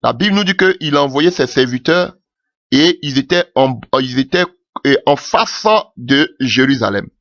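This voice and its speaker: A man's voice: unhurried, a bit bright, no echo, highs cut off. A man preaching a sermon with passion, calling out alleluia often as his voice rises. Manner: impassioned, rising pitch with frequent alleluias.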